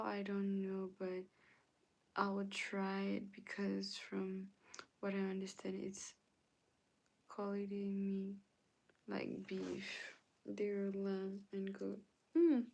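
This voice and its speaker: A woman with as her hosting a casual livestream, answering viewers' comments and sometimes dropping to a soft whisper. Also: medium pitch, gravelly. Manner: slow, chatty, often drops to a soft whisper. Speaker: livestream host.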